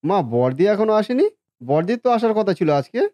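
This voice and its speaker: A man's voice: sits high, very animated, brisk, mellow, dry recording. A man playing a hardworking, dutiful grown son in a cartoon family drama, caring and businesslike. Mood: hurried, caring.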